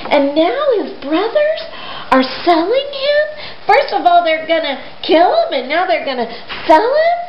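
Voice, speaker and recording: A woman tells a children's story in a high, very lively voice. She takes her time and sounds conversational, posing questions as she goes. The sound is roomy. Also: a little slow. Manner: animated, conversational, rhetorical questions. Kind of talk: informal retelling of a Bible story for children. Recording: roomy, dull lo-fi, faint hiss.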